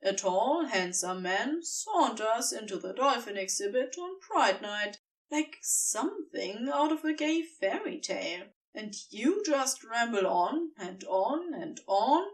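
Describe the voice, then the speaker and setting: A woman narrates a story in a fairly high voice. Her delivery is unhurried and deliberate, with lively swings in pitch and dramatic pauses for effect. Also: far from the mic, rough recording. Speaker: audiobook narrator. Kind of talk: expressive scripted fiction narration.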